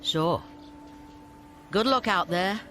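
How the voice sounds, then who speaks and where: Shrewd scavenger boss, lively swinging intonation, condescending, businesslike, video game character, music behind. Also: medium pitch, takes it slowly. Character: brisk, shrewd scavenger boss, sardonic dealmaker.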